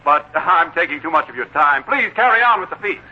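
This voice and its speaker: Confident young heir, pointed and formal, wide-ranging intonation, 1940s radio acting with dull, hissy sound. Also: male voice, on the high side, expressive, brisk. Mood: polite, confident. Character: self-assured returning young heir, politely cutting and commanding. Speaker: radio drama actor. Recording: muffled, noisy 1940s radio broadcast.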